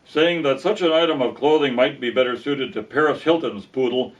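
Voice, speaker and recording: A man's voice: mid-range voice, a little fast. A man narrating a comic story aloud in a wry, folksy manner. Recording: dull lo-fi sound, distant from mic.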